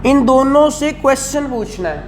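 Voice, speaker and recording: A man's voice: extremely high pitch, lively intonation, moderate pace. A man with a Pakistani Punjabi accent giving a didactic classroom lecture, often checking in with questions. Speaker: law lecturer. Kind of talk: classroom lecture mixing Urdu and English. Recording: echoey room, faint background noise.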